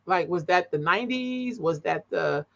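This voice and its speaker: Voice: medium pitch, expressive, normal speed, vocal fry, a bit bright, lo-fi sound. A host interviewing a guest, encouraging them with frequent affirmations. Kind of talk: conversational interview.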